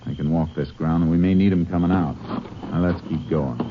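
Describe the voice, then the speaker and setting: An actor playing a calm, shrewd Texas Ranger who tracks outlaws and narrates, in a 1950s radio drama. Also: male voice, low voice, brisk, over loud music, close to an everyday voice. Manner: calm, clipped, matter-of-fact. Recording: dull old radio broadcast recording.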